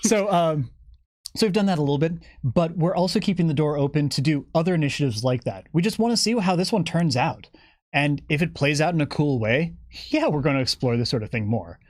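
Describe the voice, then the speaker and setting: A man with a slightly high voice talks casually as an interview guest. He is animated and playful, often restarting a thought or acting out imagined lines. The sound is dry, with little room echo. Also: fairly quick. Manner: animated, jokey, acts out imagined dialogue. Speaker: guest game developer. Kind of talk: lively casual interview chat.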